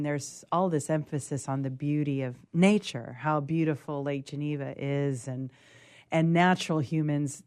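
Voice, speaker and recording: A woman's voice: deep voice, a little mellow, clear, resonant tone. A woman co-presenting a casual literary discussion, earnest and emphatic, rephrasing as she goes. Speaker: co-presenter and reader.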